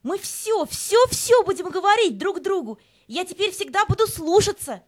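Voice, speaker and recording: Casting: devoted talking dog for audio play; extremely high, frantic, yapping devotion. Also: female voice, big pitch swings, moderate pace. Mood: frantic, pleading.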